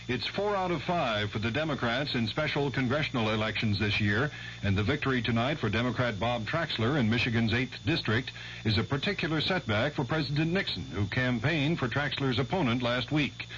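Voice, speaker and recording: A man's voice: medium pitch, brisk, a bit bright. An American man anchoring a scripted network radio newscast. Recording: dull 1970s radio broadcast, faint hum.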